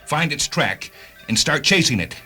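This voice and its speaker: A hard-driving, vengeful man from a radio play acted by a male performer, with a bright, forceful edge to his voice. He is curt, commanding and sardonic, over a faint music bed. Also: a little high, animated, moderate pace. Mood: urgent. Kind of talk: radio drama acting.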